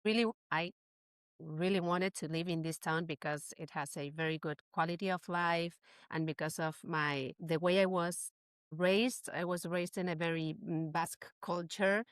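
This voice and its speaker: A woman's voice: lowish voice, steady tone, normal speed, smooth, no echo. A woman from Chile with a Chilean Spanish accent, answering as a podcast guest in casual, conversational English.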